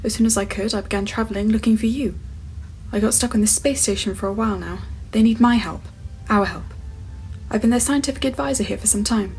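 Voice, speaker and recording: A woman's voice: on the high side, a little fast, darkish tone, soft background music, close to an everyday voice. A woman playing a poised alien scientist in an audio drama, composed and articulate. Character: Romana, poised alien female scientist, old friend.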